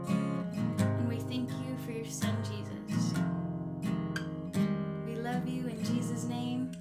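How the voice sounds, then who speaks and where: A woman leading worship who prays softly and quickly to close a song. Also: fairly high pitch, level tone. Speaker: worship leader. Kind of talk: spoken prayer over soft guitar. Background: loud acoustic guitar worship music.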